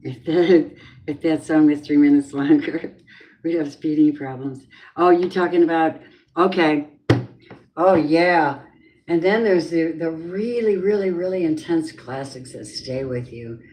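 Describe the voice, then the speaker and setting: A middle-aged woman with a low voice rambles slowly through a casual live tarot reading. Her thoughts wander off topic as she talks. Faint noise sits behind her voice. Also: fairly even intonation, a little mellow, poor audio. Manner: chatty, rambling, drifting off topic. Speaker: tarot reader.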